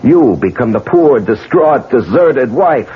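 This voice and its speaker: An actor playing a bitter, vengeful husband in a 1970s radio drama, sardonic and brooding. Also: male voice, mid-range voice, very animated, a little slow, a little hiss or hum. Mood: accusing. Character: betrayed husband, bitter, coldly vengeful man. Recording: muffled vintage radio broadcast.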